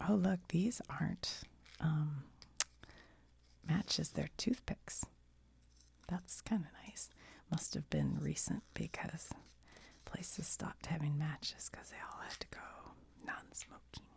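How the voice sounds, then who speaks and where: An American woman whispering in a casual ASMR show-and-tell style, musing aloud to the viewer as she reads things out in a breathy, gravelly voice. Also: deep voice, wildly swooping pitch, slow pace, darkish tone.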